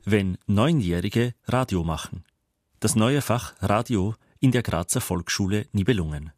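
A male radio presenter reads a prepared introduction. His voice is on the low side, and the sound is dry and free of room echo. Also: animated, a little slow, a little mellow. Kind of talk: scripted broadcast introduction.

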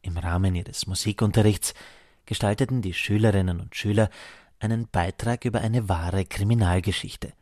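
A man's voice: lowish voice, steady tone, moderate pace, darkish tone, dry recording, clean studio sound. A man reading scripted narration as the reporter of a radio feature.

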